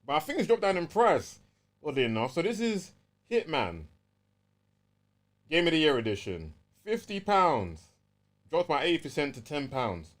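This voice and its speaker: A man's voice: on the high side, very animated, moderate pace, pauses often. A man with a London accent chatting casually and easily as a gaming podcast co-host, using plenty of slang.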